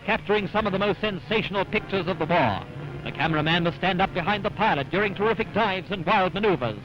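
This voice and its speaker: High-pitched wartime newsreel man, dull old recording. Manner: dramatic, punchy, rousing delivery. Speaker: newsreel narrator. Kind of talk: 1940s wartime newsreel narration. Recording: dull vintage newsreel soundtrack with music. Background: music.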